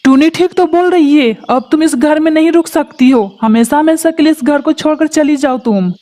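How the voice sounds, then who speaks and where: A woman's voice: high voice, moderate pace, mellow, lightly acted. A woman voicing a stern, cold father bird in a cartoon, speaking in a scolding, commanding manner.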